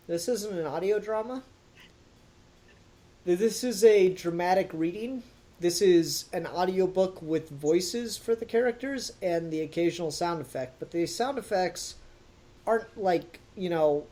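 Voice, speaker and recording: A man's voice: fairly high pitch, dark tone. A man hosting a podcast in a casual, rambling review style with a wry, sarcastic edge. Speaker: podcast host.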